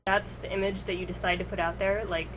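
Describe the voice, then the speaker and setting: A woman speaks casually with open scorn at a middling pitch. The audio is dull and lo-fi, with clear hiss. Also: flat delivery, fast talker. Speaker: interviewee.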